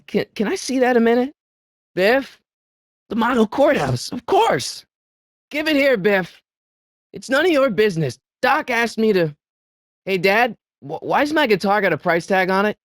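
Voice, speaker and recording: An excitable teenage boy hero from a video game, with a swooping, animated delivery. He is earnest and trips over his words when flustered. The recording is dry and close, with no room sound. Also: sits high, no room sound. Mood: dismayed.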